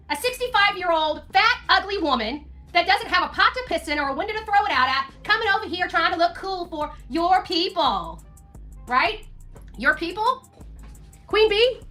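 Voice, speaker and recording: A woman with a very high, sharp, bright voice goes off on a livestream rant. She is combative and taunting, hammering phrases by repeating them. Faint music sits behind her. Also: expressive, normal speed.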